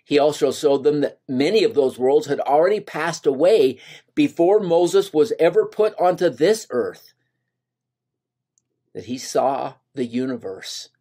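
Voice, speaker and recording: A man's voice: fairly high pitch, lively intonation, a little slow, mellow, husky. An older man teaching a scripture lesson from a home office on a webcam, earnest and emphatic as he quotes verses. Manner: earnest, voices scripture lines with rising emphasis. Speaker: gospel study teacher. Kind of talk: scripture lesson over slides.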